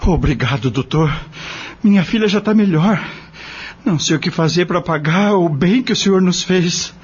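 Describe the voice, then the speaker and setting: A man's voice: high-pitched, normal speed, husky, dull audio. A man playing a humble, anxious working-class father in an audio drama, earnest and plain-spoken. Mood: grateful.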